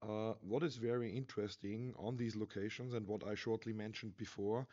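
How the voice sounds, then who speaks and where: A man's voice: lowish voice, steady tone, dark tone. Austrian man giving an earnest expo talk. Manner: earnest, halting, frequent uh fillers and restarts. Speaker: conference speaker. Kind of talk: slide presentation talk. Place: expo stage in a large hall. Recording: slightly dull lo-fi sound.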